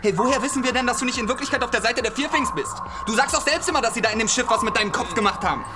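An actress playing a brash, suspicious, hot-headed young rebel, pushy and accusing, in a German radio drama. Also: female voice, a little high, animated, very fast, natural voice. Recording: music behind the voice.

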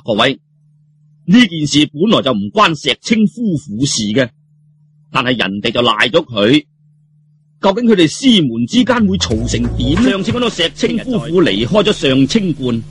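Male Cantonese storyteller, very raspy, higher-set voice, colloquial audiobook delivery. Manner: colloquial, interjecting, addresses listeners. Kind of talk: animated Cantonese audiobook storytelling of martial arts fiction.

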